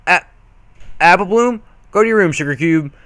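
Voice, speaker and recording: A man's voice: high-pitched, expressive, moderate pace, fairly bright tone, dry recording, pitched above the performer's own voice. A man voicing a flustered, embarrassed young farm mare from a pony cartoon story. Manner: embarrassed, hesitant, exclamatory.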